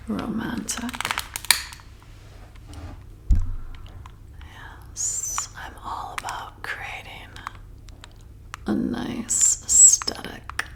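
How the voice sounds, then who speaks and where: A slow, whispering female vampire bartender, acted by a woman, flirty and softly seductive. Her hushed voice is heavily creaky and intimate, coaxing the listener in an ASMR roleplay. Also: mid-range voice. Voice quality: breathy whisper with creaky edges. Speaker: ASMR performer.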